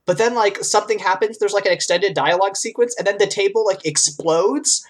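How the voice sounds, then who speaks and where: A woman's voice: medium pitch, a little fast. A woman co-hosting a podcast in casual conversation, acting out reactions as she quotes them. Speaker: podcast co-host. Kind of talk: casual pop-culture chat.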